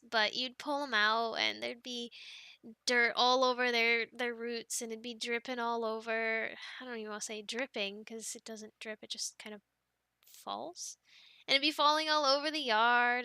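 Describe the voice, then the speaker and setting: A young woman in an ASMR girlfriend roleplay, chatting softly and wandering from thought to thought with little laughs. Her voice is bright and a touch high. It is recorded close and dry. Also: dry recording. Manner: soft, chatty and rambling, with many ums and light laughs.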